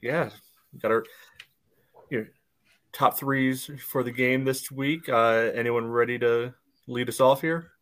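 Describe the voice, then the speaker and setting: A man co-hosting a sports podcast, giving casual analysis in halting phrases padded with verbal tics. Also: medium pitch, fairly even intonation, fairly bright tone. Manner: hesitant phrasing, peppered with "you know". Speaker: podcast co-host.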